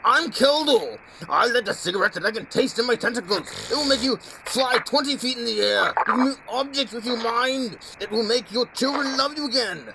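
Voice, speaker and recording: A man voicing Keldor, an eccentric tentacled creature making an exaggerated sales pitch in a parody radio commercial. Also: moderate pace, creaky, few pauses, heavy background noise. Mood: zany, boastful.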